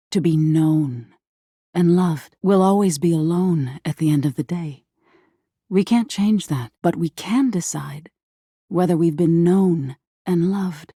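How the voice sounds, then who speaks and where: An ominous living nightmare from a video game, female and intimate. The voice is low and dark, with a husky, breathy grain that sinks toward a whisper. The delivery is slow, deliberate and tender, like dreadful bedtime narration, recorded very dry and close. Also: dry recording. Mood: wistful, gentle. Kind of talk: scripted dramatic game narration.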